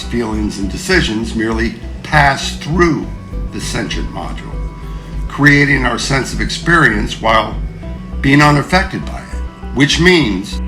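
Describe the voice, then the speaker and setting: A man acting in an audio drama as an idealistic, modest neuroscientist, measured and explanatory in an understated way. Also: medium pitch, close to an everyday voice. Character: idealistic, modest male neuroscientist giving talks. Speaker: actor.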